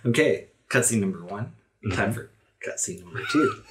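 A man's voice: fairly low pitch, expressive, normal speed, fairly bright tone. A man acting as game master, narrating scenes of a tabletop role-playing game and chatting casually with players. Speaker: game master and podcast host.

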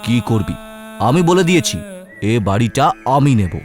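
Guy doing a greedy bossy big brother for a cartoon, swingy pitch, music behind. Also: big pitch swings, normal speed, darkish tone, natural voice. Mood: stern, bossy. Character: greedy domineering elder brother, a farmer's son.

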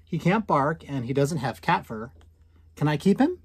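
A man's voice: on the high side, big pitch swings, moderate pace, husky, dry recording, full character voice. A man reading the part of an eager, pleading little boy in a storybook, with hopeful rising questions.

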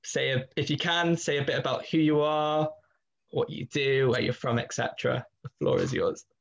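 A man hosting a podcast, opening with lively, loose, rambling introductions. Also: mid-range voice, normal speed, gravelly, lo-fi sound. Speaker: podcast host.

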